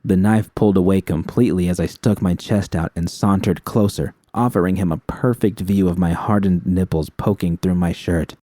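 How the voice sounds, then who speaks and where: Low mellow guy reading a dramatic first person story, really dry sound. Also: fairly even intonation, normal speed, hardly any pauses, no room sound. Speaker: audiobook narrator of fan fiction.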